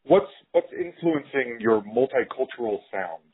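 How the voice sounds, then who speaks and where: A man's voice: mid-range voice, expressive, unhurried, breathy edge, narrow, tinny audio. An American man hosting a podcast, asking questions in a casual, conversational interview style.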